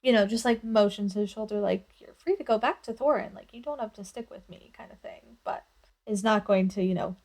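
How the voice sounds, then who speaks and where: A woman's voice: medium pitch, brisk, darkish tone. A woman taking part in a tabletop roleplaying game, describing her character casually.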